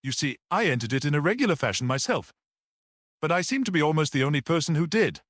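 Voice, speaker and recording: A man voicing a mild, quietly grave little priest-detective in an audiobook, gentle and matter-of-fact. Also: a little high, very animated, fast, no echo, studio quality, pitched above the performer's own voice. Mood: mildly whimsical.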